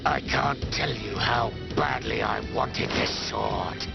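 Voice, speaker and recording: An actor voicing a cruel, theatrical traitor in a video game, gravelly, sneering and mocking as he draws out his words. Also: medium pitch. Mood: mocking, gloating. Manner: sneering, drawn-out, mocking delivery. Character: gloating traitorous villain inside a machine, cruel and theatrical. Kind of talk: video game cutscene acting. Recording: muffled lo-fi game audio, loud music.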